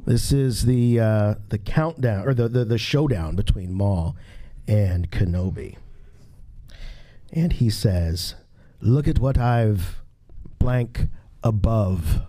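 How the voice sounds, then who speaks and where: A man's voice: lowish voice, takes it slowly, mellow. A man hosting a casual live quiz on a convention stage, bantering with contestants. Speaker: trivia game host.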